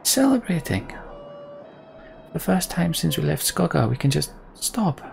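Man voicing a soft, higher-pitched woman archer. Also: very animated, measured pace, creaky, faint music behind, heavily acted. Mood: relieved. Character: gentle, spirited adult woman archer.

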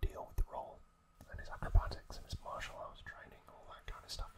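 A man whispering an ASMR recap as host, telling it in short, hesitant phrases with pauses.

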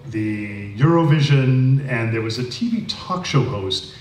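Older male host with a lively pitch. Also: unhurried. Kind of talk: interview hosting. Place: small stage with audience, indoors. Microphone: handheld mic. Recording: roomy, distant, faint noise.

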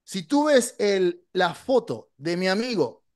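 A man's voice: sits high, sing-song, takes it slowly, darkish tone, dry recording. A man in his forties, an online marketing coach, giving a blunt, emphatic motivational talk to camera from a small studio on a podcast mic, dropping in English words. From Latin America. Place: small dark studio, indoors. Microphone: podcast mic on boom arm.

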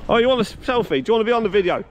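A man's voice: sits high, expressive, racing, muffled recording. A man, chatting and laughing often as a trucking vlogger, filmed on a handheld camera in a truck cab. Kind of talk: casual vlog chat. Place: truck cab, then workshop unit. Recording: loud engine and road noise.

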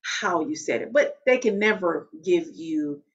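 A woman in her forties with a deep, loud voice, talking straight to the camera in an emphatic, conversational way and often checking in with "okay?". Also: expressive, measured pace, dull audio. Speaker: vlogger. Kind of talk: direct-to-camera talk. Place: small room at home.

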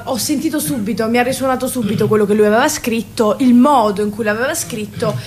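A woman's voice: fairly high pitch, fast talker, a little mellow, slight background noise. A woman from Sicily, an actress, speaking reflectively as a guest in a radio interview. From Sicily, Italy.

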